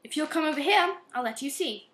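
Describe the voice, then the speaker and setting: A woman playing a stern, insistent police inspector with a measured, firm delivery in an amateur radio play. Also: high voice, lively intonation, a bit bright.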